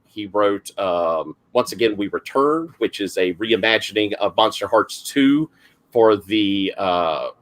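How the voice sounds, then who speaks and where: Man, Southern accent, gravelly voice, middle-aged, rambling game master, online call. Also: on the low side. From South Carolina, US. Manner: rambling, lots of fillers and restarts. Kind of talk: casual introduction of a game.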